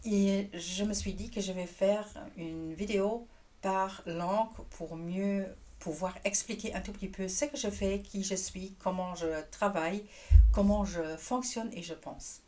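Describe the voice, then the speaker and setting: A woman with a Luxembourgish accent, a native Luxembourgish speaker, introducing herself on her channel as a tarot reader and pausing often to find her French words. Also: mid-range voice, steady tone, a little mellow. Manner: hesitant, searching for French words with restarts. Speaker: tarot reader and energy worker. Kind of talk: casual YouTube introduction.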